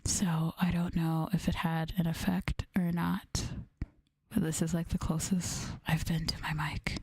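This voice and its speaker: A soft-spoken woman chatting casually and playfully with viewers as the host of an ASMR livestream. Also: low voice, little pitch movement, unhurried, husky. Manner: soft-spoken, playful, drifting into close-mic whispers. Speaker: VTuber streamer and host.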